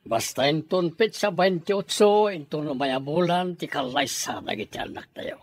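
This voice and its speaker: A man's voice: fairly high pitch, animated, a little slow. A man with an Ilocano Filipino accent acting a blustery, scheming middle-aged father in a radio comedy-drama. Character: blustery scheming father arranging his son's marriage.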